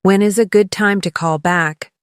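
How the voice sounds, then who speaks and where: A woman leading a scripted English lesson as a teacher, encouraging the learner and clearly enunciating model phrases for repetition. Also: medium pitch, steady tone, normal speed, no echo, clean studio sound. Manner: encouraging coaching.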